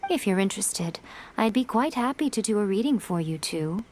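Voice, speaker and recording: A woman voicing a sly, teasing, elegant fox shrine priestess in a video game, unhurried and smug. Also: mid-range voice, animated, darkish tone, a little hiss or hum, lightly acted. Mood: amused, smug. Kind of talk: video game character dialogue. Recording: game music behind the voice.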